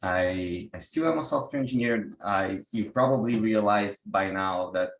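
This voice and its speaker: A man's voice: lowish voice, moderate pace, creaky, echoey room. A man from Brazil with a Brazilian Portuguese accent, giving an online workshop talk over a video call, hesitant with many restarts. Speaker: workshop presenter, pranic healer.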